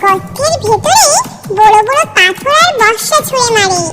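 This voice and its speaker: A children's cartoon narrator tells a scripted story in a high voice with lively pitch movement. Loud music plays behind the narration. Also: moderate pace.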